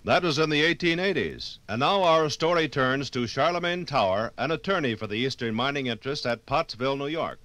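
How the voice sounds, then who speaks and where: A man's voice: medium pitch, lively intonation, a little fast, hardly any pauses, pushed and bright, dry recording. A man narrating a 1950s radio documentary as a formal storytelling announcer.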